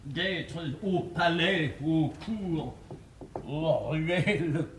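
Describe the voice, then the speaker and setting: An actor playing a pompous, falsely modest scholar in a classical French verse stage comedy, ponderous and ceremonious. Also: male voice, a little high, drawn-out words, a little hiss or hum. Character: pompous, pedantic scholar feigning modesty in soft tones. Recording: echoey 1950s theatre recording.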